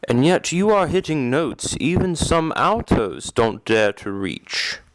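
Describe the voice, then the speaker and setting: Guy acting as a brooding phantom of the opera, formal and imperious, swingy pitch, dry close sound. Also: sing-song, takes it slowly, dry recording, exaggerated voice, poor audio. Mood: measured, intrigued. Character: brooding, commanding male phantom of an opera house. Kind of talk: audio drama acting.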